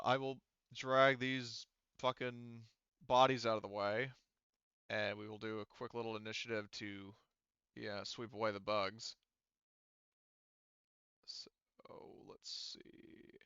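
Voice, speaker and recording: A man acts as game master for an online tabletop game. His voice is bright and smooth, and he talks casually, swearing and muttering as he works through rules. The recording is dry and a little muffled. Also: medium pitch, dry recording. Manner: casual, profane, mutters to himself.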